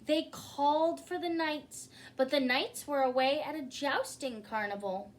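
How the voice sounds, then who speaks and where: A woman reading a children's picture book aloud as a story-time host, in an expressive manner. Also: sits high. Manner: expressive, pausing to ask listeners questions. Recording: clearly noisy recording.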